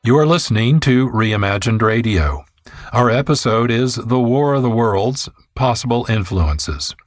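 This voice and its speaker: A man's voice: medium pitch, lively intonation, a little slow, dry recording, faint music behind. Man hosting a radio show, scripted narration, really dry close studio sound. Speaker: radio producer and host.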